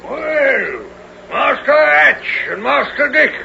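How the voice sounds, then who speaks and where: An actor playing a wry, blunt, gruff medieval English archer with a gravelly voice in a 1970s radio drama. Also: male voice, very high voice, big pitch swings, slow pace, heavily acted. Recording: dull, faintly noisy vintage radio recording.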